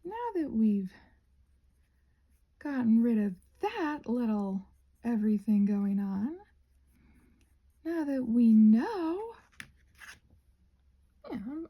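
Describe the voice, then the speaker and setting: Soft, breathy, creaky-voiced rambling woman. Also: fairly high pitch, lo-fi sound. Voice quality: soft, breathy, often dropping to a whisper. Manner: musing aloud, gently reassuring, repeats phrases. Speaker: host of a craft and ASMR ramble channel. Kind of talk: casual rambling ASMR chat while crafting.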